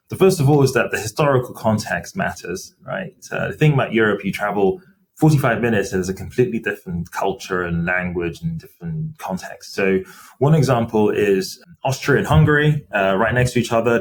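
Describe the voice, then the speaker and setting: A middle-aged man, a company finance chief, answering interview questions in a measured, explanatory way with frequent fillers. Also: on the low side. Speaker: company CFO as podcast guest. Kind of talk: interview conversation.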